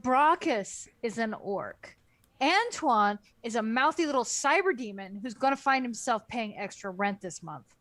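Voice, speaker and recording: A woman's voice: a little high, lively intonation, a little slow, a bit bright. A woman co-hosting a comedic book club chat, bantering in a dry, sardonic way.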